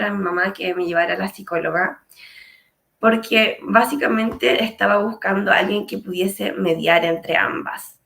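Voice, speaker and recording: Chilean woman with a gravelly voice. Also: mid-range voice, a bit bright. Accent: Chilean Spanish. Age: late 30s. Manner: measured, reflective, with frequent hesitations. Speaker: speaker sharing her story. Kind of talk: personal testimony talk.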